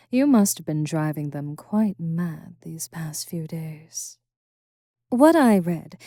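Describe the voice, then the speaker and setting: Woman playing a slow, haughty vampire noblewoman. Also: on the low side, warm tone, no room sound, clean studio sound. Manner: formal, aloof, condescending, deliberate. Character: haughty, composed vampire noblewoman, stern captor with teasing edge. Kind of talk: ASMR roleplay audio drama.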